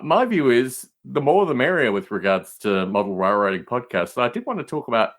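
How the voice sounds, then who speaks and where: A man with a lively, swinging pitch tells stories as a podcast guest. He rambles and digresses in a jokey, casual way. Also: fairly quick. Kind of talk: casual storytelling chat.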